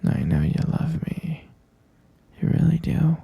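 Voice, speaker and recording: A very husky, hushed voice of an obsessive captor boyfriend, played by a man in an ASMR roleplay. He coaxes slowly and tenderly with quiet menace, dripping endearments. The sound is close and dry, with a breathy rasp. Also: fairly high pitch, very animated, no echo, heavily acted. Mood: possessive, adoring. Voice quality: breathy, raspy whisper. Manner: slow, coaxing, repetitive endearments. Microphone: close microphone.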